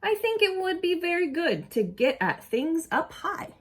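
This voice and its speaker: Animated, unhurried woman reading to children. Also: very high-pitched. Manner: animated, asks children simple questions. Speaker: early childhood educator reading aloud. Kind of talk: expressive picture-book read-aloud with asides to young children.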